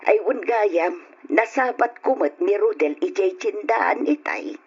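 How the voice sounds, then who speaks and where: A woman's voice: medium pitch, lively intonation, a little slow, darkish tone, breathy edge. An actress playing a middle-aged wife gossiping with her husband, on a lo-fi old radio drama recording. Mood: gossipy.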